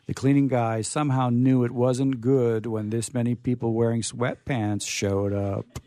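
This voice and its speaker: A man's voice: medium pitch, level tone, a little slow, mellow. A man hosting a radio show, reading short comic stories aloud and chatting in a playful, theatrical way from a studio.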